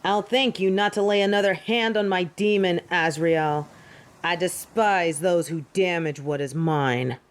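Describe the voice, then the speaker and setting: An actress playing a haughty, possessive fallen angel in an audio drama, with an imperious, cool and disdainful delivery. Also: female voice, fairly low pitch, a little slow, natural voice. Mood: commanding, protective. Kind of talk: dramatic audio roleplay acting.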